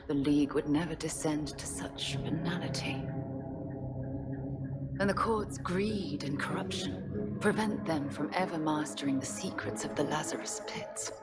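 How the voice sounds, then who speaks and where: Haughty assassin woman, very low voice, measured menace, breathy near-whispers, game cutscene, music behind. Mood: scornful. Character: cool, menacing woman leader from a league of assassins. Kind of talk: dramatic video game cutscene acting.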